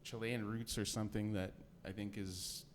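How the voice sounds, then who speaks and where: A low-voiced man talks haltingly, with plenty of fillers between thoughts. He chats as a band member on a podcast. Faint room noise sits behind him. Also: steady tone. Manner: hesitant, lots of fillers. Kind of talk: casual podcast chat.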